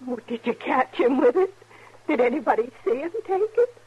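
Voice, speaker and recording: An actress playing a proud, embittered older frontier mother in a 1950s radio western, husky and emphatic, with a folksy rural American accent. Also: sits high, animated, a little fast. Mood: indignant, defiant. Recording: dull, telephone-like 1950s radio recording.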